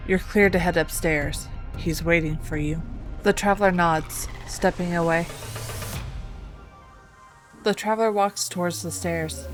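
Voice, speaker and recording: Slightly low-voiced woman narrating over music. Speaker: video creator and storyteller. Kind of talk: scripted narration of a game story.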